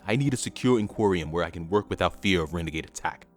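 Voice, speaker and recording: A man's voice: deep voice, animated, fast talker, soft background music. A man playing a domineering, impatient villain in an audio drama, with a curt, commanding way of speaking. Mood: forceful, demanding. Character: domineering, impatient male villain with ruthless ambition.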